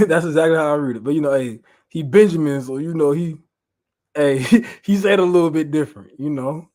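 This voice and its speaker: A man's voice: fairly high pitch, fairly quick. American man preaching in casual, slangy style. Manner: informal, animated retelling, repeats phrases for emphasis. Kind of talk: Bible lesson mixing reading aloud with casual slangy paraphrase.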